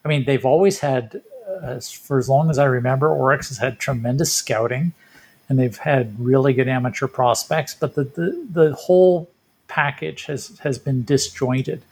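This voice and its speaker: A man's voice: mid-range voice, moderate pace, darkish tone, a little hiss or hum. A man co-hosting a sports podcast, giving casual, reflective baseball commentary and thinking aloud.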